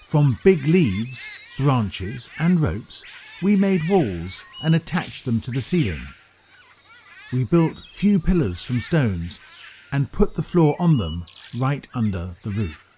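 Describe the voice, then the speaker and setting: A man narrating a scripted children's story in a deliberate, expressive storytelling manner. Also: mid-range voice, a little hiss or hum.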